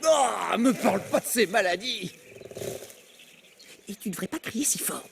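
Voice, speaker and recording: An actor playing a vain, snobbish young male apple in an animated film, pompous, disdainful and easily flustered. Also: high voice, a little slow, gravelly, noisy background, exaggerated voice. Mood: scornful. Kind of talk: animated film dub acting.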